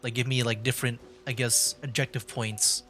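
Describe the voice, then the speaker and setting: A man's voice: medium pitch, little pitch movement, moderate pace, sharp tone. Chatty man livestreaming a video game. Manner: rambling, chatty, thinks aloud. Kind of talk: casual live game commentary. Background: game music.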